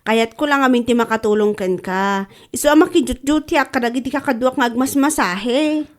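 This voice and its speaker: A woman's voice: on the high side, fairly quick, lightly acted. A woman playing a devoted, hardworking older wife in a radio comedy-drama. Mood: reassuring.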